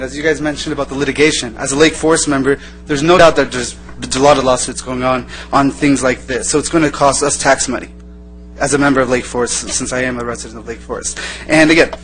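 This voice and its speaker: A man's voice: mid-range voice, steady tone, fast talker, fairly bright tone, slight background noise, rough recording. A man speaking as a resident in public comment, earnest and loosely improvised, circling back over his points. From born in Iran, raised in the United States. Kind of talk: informal public speech. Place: council meeting chamber.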